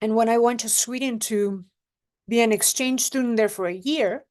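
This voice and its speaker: A young adult woman, a relationship coach giving advice in an unhurried, reflective way and often checking in with the listener. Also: a little high, a little mellow. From Mexico. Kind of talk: casual advice monologue to camera.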